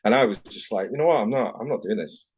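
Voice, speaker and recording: Man, Manchester accent, middle-aged, very deep voice, rambling frank chat, video call. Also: lively intonation, fast talker, husky. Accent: Manchester English. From England, Manchester. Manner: rambling, frank, self-correcting. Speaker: tattoo artist guest. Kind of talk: casual interview chat.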